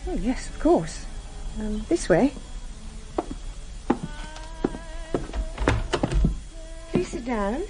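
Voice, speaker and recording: Housewife in radio thriller, guarded, a bit high, husky, very expressive. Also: female voice, unhurried, over music, rough recording. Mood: polite, wary. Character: composed, sharp-witted wife growing anxious and guarded. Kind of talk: radio drama acting.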